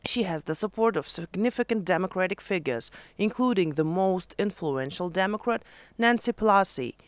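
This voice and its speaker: A man reading a scripted news voice-over in a measured, formal way. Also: sits high, no echo. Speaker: news narrator. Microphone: studio voice-over mic.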